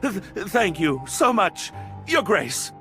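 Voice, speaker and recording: A man's voice: high-pitched, very animated, slow pace, a bit bright, soft background music, exaggerated voice. An actor playing a shy, nervous young male prisoner who stammers and gets flustered in a video game.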